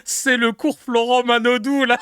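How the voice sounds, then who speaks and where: A man's voice: very high voice, little pitch movement, a little slow, bright, forceful tone, smooth, dry recording. A man hosting a casual live show, reacting to clips with laughter, swearing and mocking asides. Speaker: streamer host reacting to clips.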